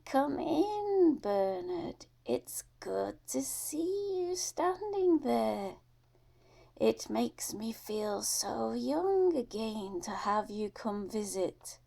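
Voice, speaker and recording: A woman voicing an eager, doting old lady in an audiobook, breathy and halting. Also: on the high side, very animated, warm tone, voice pushed up, exaggerated voice. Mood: delighted welcome. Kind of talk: acted audiobook dialogue.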